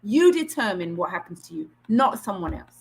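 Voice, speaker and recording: A woman's voice: mid-range voice, very animated, moderate pace, vocal fry. A middle-aged woman from Trinidad with a Trinidadian accent, answering questions as a career coach in an online session in an earnest, encouraging way. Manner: encouraging, earnest advice-giving.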